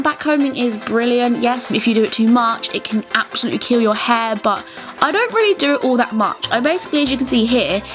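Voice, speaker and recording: A young British woman giving a casual, chatty, enthusiastic beauty tutorial voice-over. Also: on the high side. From England. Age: young adult. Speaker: beauty vlogger. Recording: music bed under the voice. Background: clear background music.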